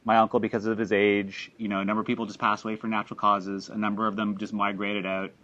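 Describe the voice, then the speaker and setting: Rambling man, low voice. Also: level tone, fast, fairly bright tone. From Canada. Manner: rambling, with frequent restarts and fillers. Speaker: filmmaker presenting his documentary. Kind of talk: talk to an audience after a screening. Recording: dull lo-fi recording.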